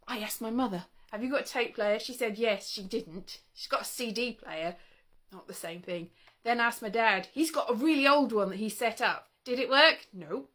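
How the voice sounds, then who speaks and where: A woman's voice: a little high, bright, forceful tone. A woman talking casually to camera in a chatty, wry, rambling way, often breaking off and interrupting herself. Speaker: vlogger showing old interview tapes.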